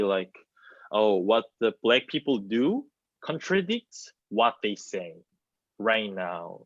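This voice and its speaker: A man in his twenties from South Korea with a Korean accent, giving halting answers full of repeated phrases on a video call. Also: on the low side, no room sound. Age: 20s. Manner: halting, repeats phrases, non-native English. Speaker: theater student. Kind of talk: hesitant spoken answer in an online panel discussion. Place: small plain indoor room. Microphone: video call, wireless earbuds. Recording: muffled video-call audio.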